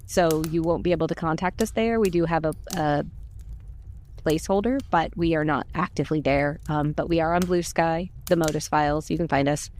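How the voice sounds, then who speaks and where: A woman's voice: deep voice, a little fast, a little mellow, slight background noise. A woman chatting casually and informally about a podcast project, in a gushing, talkative way. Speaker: podcast cast member and volunteer. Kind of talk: casual chat.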